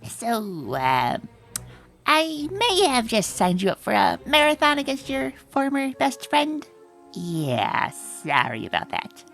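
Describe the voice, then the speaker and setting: A woman's voice: fairly high pitch, wildly swooping pitch, takes it slowly, gravelly, fairly bright tone, faint music behind, heavily acted. A woman voicing a brash, loyal, fiery female pony in a comic dub. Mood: sheepish. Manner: brash, emphatic. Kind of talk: comic dub character acting.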